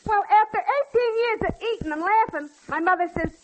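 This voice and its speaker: A gabby working-class shop girl with an extremely high voice, nursing her romantic grievance. She talks in plain, slangy phrases in the manner of 1940s radio comedy. The old broadcast is clearly noisy. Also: brisk. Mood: aggrieved. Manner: slangy, plain-spoken, deadpan storytelling.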